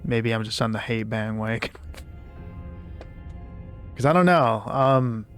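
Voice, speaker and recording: Raspy guy streaming a game, casual rambling chat, faint game music behind. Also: medium pitch, slow pace. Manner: relaxed, rambling with restarts. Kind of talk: casual gameplay commentary.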